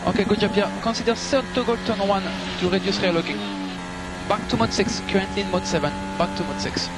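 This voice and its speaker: High-pitched male race engineer on noisy radio. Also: brisk. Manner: clipped, procedural, repeats instructions. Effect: team radio. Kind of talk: team radio instructions and race updates. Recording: very noisy car team radio transmission. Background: loud car noise.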